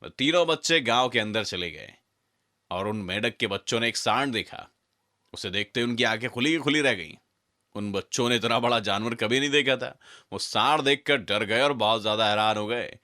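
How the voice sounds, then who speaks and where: A man's voice: mid-range voice, moderate pace, bright, forceful tone. A man narrating a scripted children's fable as a storyteller.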